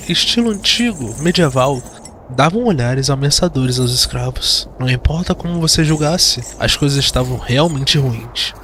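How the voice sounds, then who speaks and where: A man's voice: medium pitch, fairly bright tone, a little hiss or hum. A man with a Brazilian accent giving dramatic scripted narration of a fantasy audio drama. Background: background music.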